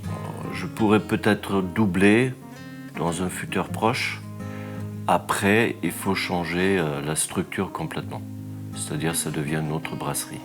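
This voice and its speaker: Slow, halting brewer, low voice. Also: male voice, fairly even intonation. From Bavaria, Germany. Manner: slow and halting, many pauses and fillers. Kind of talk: interview. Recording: music bed under the voice.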